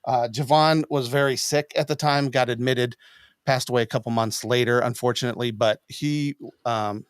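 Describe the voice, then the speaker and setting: A middle-aged man talks casually as a podcast host. His delivery is halting and a little hesitant, at a medium pitch. The sound is close and dry, on a studio mic. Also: fairly even intonation, clean tone, no echo. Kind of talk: casual chat. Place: small home studio room. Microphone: boom-arm studio mic up close.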